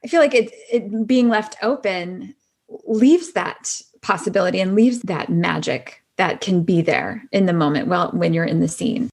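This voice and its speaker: A woman's voice: fairly low pitch, moderate pace, vocal fry. A woman speaking as a podcast guest in casual chat, earnest and agreeable, repeating phrases for emphasis.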